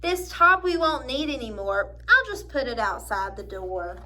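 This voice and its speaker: A woman's voice: high-pitched, very animated, measured pace, pushed and bright, a little hiss or hum, heavily acted. A woman voicing a tidy, matter-of-fact mother bear from a children's storybook.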